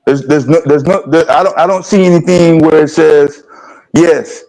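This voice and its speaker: Plainspoken man in his fifties, slightly high. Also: fairly even intonation, creaky, a little mellow, poor audio. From American South. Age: 50s. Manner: plainspoken, halting, asks rhetorical questions. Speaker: livestream host. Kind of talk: casual discussion.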